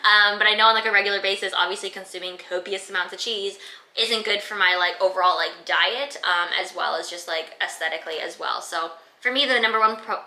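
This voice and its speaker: Bright chatty young woman. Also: mid-range voice, a little fast, echoey room. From Canada. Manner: chatty, many "like" fillers. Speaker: fitness vlogger. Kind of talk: casual Q and A chat. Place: her room.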